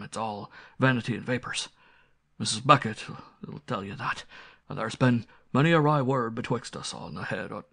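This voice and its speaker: Man acting elderly loyal butler, storybook character, deferential, plain-spoken muttering, creaky voice. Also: medium pitch, a bit bright, no echo, studio quality. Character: loyal old male butler, humble, superstitious.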